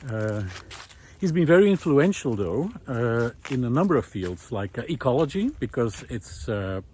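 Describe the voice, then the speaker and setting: A man giving an unscripted, reflective philosophical talk while walking, explaining ideas in a halting, thoughtful way with frequent pauses. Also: medium pitch, sing-song, darkish tone. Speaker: host of a walking talk series. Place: outdoors, walking on a country road. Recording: outdoor recording with clear background noise.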